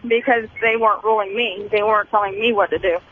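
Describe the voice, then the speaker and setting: A woman's voice: medium pitch, steady tone, quick pace, crowd noise. A woman calling in to a talk show, speaking about her personal life over a tinny, faintly noisy phone line. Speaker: caller.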